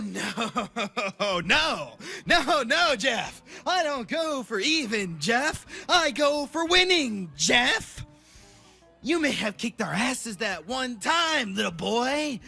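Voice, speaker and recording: An actor playing a sadistic teenage boy bully in a horror audio drama, with a raspy, menacing, drawn-out taunting delivery. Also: medium pitch, very animated, pushed and bright, faint music behind, full character voice. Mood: mocking, threatening.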